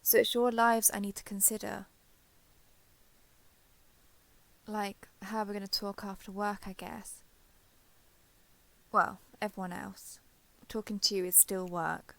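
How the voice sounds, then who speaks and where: A woman playing a shy, guarded former villain turned ally in an intimate romance audio roleplay, halting, defensive and awkwardly sincere. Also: medium pitch, level tone, creaky, fairly bright tone, no room sound, low-quality recording. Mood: awkward, flustered.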